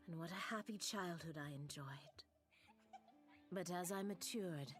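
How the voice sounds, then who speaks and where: An actress playing a regal, serene warrior goddess, narrating formally in a game cinematic. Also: female voice, sits low. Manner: formal, stately.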